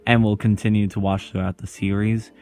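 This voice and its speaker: A man narrating a scripted video essay as its host, pausing dramatically between short phrases. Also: fairly low pitch, level tone, darkish tone, soft background music.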